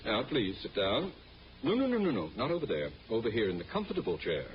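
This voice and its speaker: A man's voice: fairly low pitch, huge pitch swings, quick pace. An actor playing a pompous, scheming middle-aged school principal and father in a theatrical old-time radio comedy style. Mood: smug. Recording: muffled, noisy old radio broadcast.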